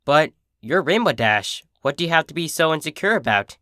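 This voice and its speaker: A man's voice: fairly high pitch, sing-song, a little fast, sharp tone, no room sound, studio quality. A man voicing a young female pony, an insecure and adoring filly with an earnest, childlike, pleading manner. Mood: unsure.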